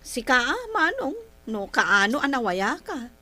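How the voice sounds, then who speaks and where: Bright, high-voiced eager daughter. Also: female voice, sing-song, a little slow, dry recording, heavily acted. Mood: cheerful, chatty. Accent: Ilocano. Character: eager, dutiful grown daughter with children. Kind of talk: Philippine radio drama acting.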